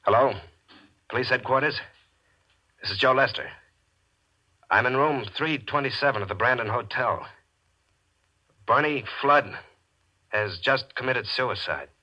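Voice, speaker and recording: A man's voice: medium pitch, a little slow, long pauses, sharp tone, highs cut off. An actor playing a cold, scheming gambler who tells of his own murder in calculated 1940s radio mystery style. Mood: feigned urgency. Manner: calculated, confessional first-person telling. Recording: vintage radio broadcast with music.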